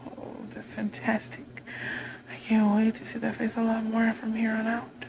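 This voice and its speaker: A woman playing a haughty, vengeful vampire queen in a roleplay monologue, imperious, taunting and deliberate in delivery. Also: a little high, completely flat delivery, gravelly, noisy background. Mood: gloating.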